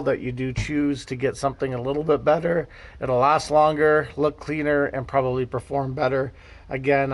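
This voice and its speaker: A man hosting a vlog, chatting casually and a bit ramblingly to his viewers as he reviews gear. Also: mid-range voice. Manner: chatty, rambling, addresses viewers as guys and gang. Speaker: host of a car gear video series.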